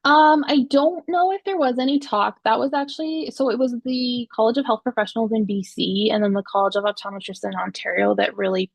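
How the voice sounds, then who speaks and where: A woman's voice: on the high side, fairly quick, creaky, hardly any pauses, dry recording. A young woman, an optometrist and exam board member from Canada, answering students' questions on a video call in a friendly, informal way. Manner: friendly, informal, with laughs and fillers.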